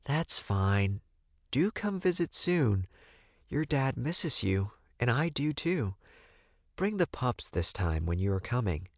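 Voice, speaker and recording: Calm older she-wolf mother played by a male reader, pitched up, measured and firm, audiobook, slightly dull sound. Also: no echo, heavily acted. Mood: gentle. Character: werewolf mother, older woman, calm, firm, counseling her son. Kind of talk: audiobook character dialogue.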